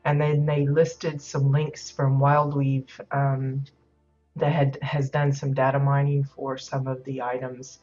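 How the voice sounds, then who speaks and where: A woman hosting a game livestream in casual chat, a little hoarse and stuffed up, rambling with many ums and uhs. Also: rumbling low, completely flat delivery, slow pace, darkish tone, soft background music. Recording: slightly dull lo-fi audio.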